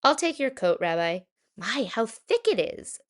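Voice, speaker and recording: A chirpy, high old grandmother, as a woman reader puts her on, fussing over a guest. Her voice leaps up and down with lively swings. She chatters along merrily. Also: unhurried. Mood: chatty, fussing. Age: older. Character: frail, cheerful 97-year-old grandmother.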